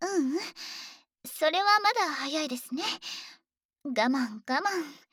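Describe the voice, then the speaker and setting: Soft-spoken dragon maid. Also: female voice, high voice, no room sound, studio quality, full character voice. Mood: doting. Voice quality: soft, often whispered. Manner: formal, doting and gently teasing. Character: devoted polite female dragon maid to a young master. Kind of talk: ASMR sleep roleplay.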